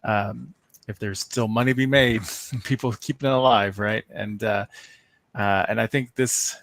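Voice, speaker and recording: A man's voice: on the low side, steady tone, moderate pace, fairly bright tone. A man offering casual opinions as a panelist in a fan discussion.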